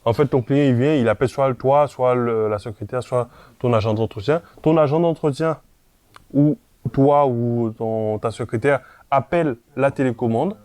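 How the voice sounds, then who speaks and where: A man with a medium-pitched voice gives an informal, step-by-step explanation straight to the viewer. He is didactic and often checks that the listener is following. The recording is dry, with hardly any room sound. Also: normal speed, a little mellow, smooth, dry recording. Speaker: presenter of a property investment tutorial.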